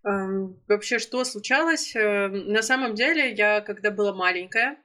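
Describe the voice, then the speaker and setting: A woman's voice: medium pitch, normal speed, sharp tone. A young woman in her twenties from Russia, telling a personal travel story in a casual vlog, chatty with frequent fillers and self-corrections. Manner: chatty, many fillers and self-corrections, laughs now and then. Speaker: storytelling vlogger.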